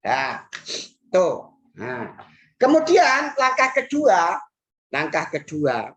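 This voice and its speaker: Slow, lively-voiced Indonesian woman teaching math. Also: lowish voice, breathy edge. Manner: patient, repeats key phrases, frequent "nah" and "hmm" while working problems. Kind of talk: recorded classroom math lesson.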